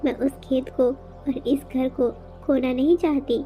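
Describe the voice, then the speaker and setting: A woman acts out a worried, hardworking mother bird for a children's cartoon. Her voice sits high and pleads tenderly and earnestly. The sound is a little dull, with music playing beneath. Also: steady tone, unhurried. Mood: worried, sorrowful. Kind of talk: Hindi children's cartoon dialogue.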